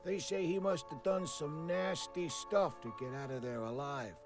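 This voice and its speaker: A man's voice: on the high side, moderate pace, close to an everyday voice. A man playing a slangy, streetwise 1940s gangster henchman who tells tales theatrically in a cartoonish video game. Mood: ominous storytelling. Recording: music behind the voice.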